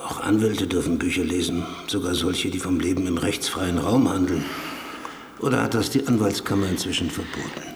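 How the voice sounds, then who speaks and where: An actor playing an arrogant, reclusive writer in a radio drama, speaking in a deliberate, wordy, ironic way. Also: male voice, deep voice, a little hiss or hum. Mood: condescending, dry. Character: arrogant, vain reclusive male writer, world-weary philosopher. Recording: long reverb, music behind.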